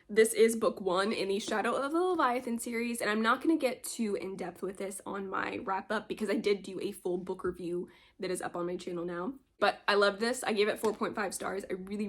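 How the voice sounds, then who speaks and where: Woman, book vlogger, casual rambling chat, slight cold, low energy, soft laughs, mid pitch. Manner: chatty, rambling, often laughs. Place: home, at a desk with mugs.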